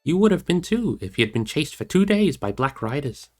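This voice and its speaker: A man's voice: on the high side, sing-song, brisk, faint music behind. Man voicing eager, lifted-voice young hobbit Pippin. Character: cheerful, frank young male hobbit, Pippin.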